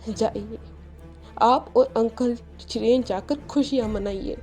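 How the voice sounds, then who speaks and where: Woman acting an upset, high-voiced, accusing young person. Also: slow pace, a little mellow. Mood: bitter, defiant. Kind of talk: dramatized Hindi audio story. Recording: music behind the voice.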